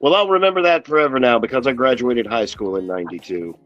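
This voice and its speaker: A man's voice: mid-range voice, fast talker, a bit bright, no echo, lo-fi sound, faint music behind. A man in his fifties chatting on a stream, friendly and wry. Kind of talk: casual chat.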